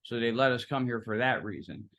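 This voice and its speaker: A young man from Philadelphia who grew up speaking Italian first. He tells stories in a loose, rambling way, full of fillers and restarts. His voice sits at a middling pitch. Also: a little fast, fairly bright tone, lo-fi sound. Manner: rambling, filler-heavy, storytelling. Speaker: interview guest. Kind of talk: casual interview conversation.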